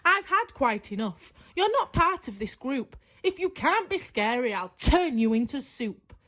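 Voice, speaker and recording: Storybook witch, female reader acting: high, screechy, snappish, bossy, demanding. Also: very animated, a little slow, fairly bright tone, full character voice. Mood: angry. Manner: snappy, scolding, commanding. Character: bossy, short-tempered witch who craves scary things.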